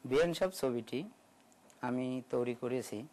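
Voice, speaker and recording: A man speaking as a film director, giving measured promotional answers in an interview. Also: mid-range voice, a little mellow.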